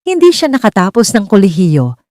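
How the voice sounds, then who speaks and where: A woman's voice: medium pitch, wildly swooping pitch, a little fast, darkish tone, dry recording, studio quality. A woman narrating a scripted dramatic romance story.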